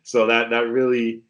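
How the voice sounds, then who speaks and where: A man in his thirties from the Bahamas, a pro basketball player telling his story as a podcast guest in a relaxed way, with lots of "like" fillers. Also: mid-range voice, highs cut off. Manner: relaxed, many "like" fillers, laid-back storytelling. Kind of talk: casual interview chat.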